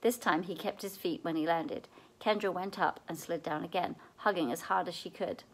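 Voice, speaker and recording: A woman reading a children's novel aloud in an expressive storytelling way, as at a library read-aloud. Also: on the low side, moderate pace, slightly rough, low-quality recording.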